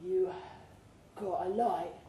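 A woman's voice: lowish voice, fairly even intonation, slow, warm tone. A streetwise London man, casual and faintly menacing, played by a woman reading a story live. The talk is slangy, and the pub room echoes around it. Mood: casually menacing. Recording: roomy live pub recording, faint background noise.

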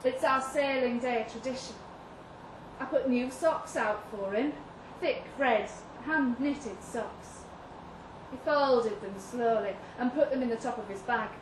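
A woman reading a literary short story aloud at a live event, in a measured, deliberate first-person narration. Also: on the high side. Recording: roomy, distant mic with audible noise.

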